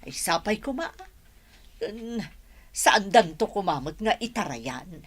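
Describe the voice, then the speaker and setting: Casting: gossipy Ilocana mother, raspy, lowish and very expressive, village radio drama. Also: slow pace. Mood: proud. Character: proud village mother chatting about her child.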